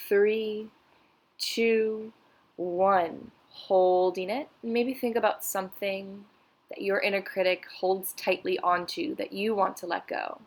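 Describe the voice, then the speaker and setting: A woman guiding a meditation in a soothing, unhurried, instructional way. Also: mid-range voice. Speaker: meditation guide. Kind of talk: guided meditation narration.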